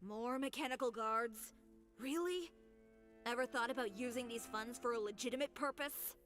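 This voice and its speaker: Video game heroine, female actor: confident young woman designer, higher-pitched, pointed and principled, music underneath. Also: normal speed, a bit bright, natural voice. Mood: scornful disbelief. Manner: assertive, pointed, challenging. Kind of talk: scripted video game voice acting.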